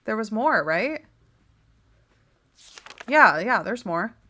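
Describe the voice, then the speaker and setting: A young woman with a slightly raspy voice, reading game text aloud and joking about it as she plays. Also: fairly high pitch, sing-song, takes it slowly, sharp tone. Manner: chatty and wry, laughs often, reads text then jokes about it. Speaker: gaming channel host.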